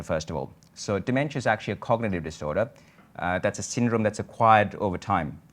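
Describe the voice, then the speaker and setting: A man giving a conference lecture on a medical topic, explaining in a measured way with frequent filler sounds. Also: lowish voice.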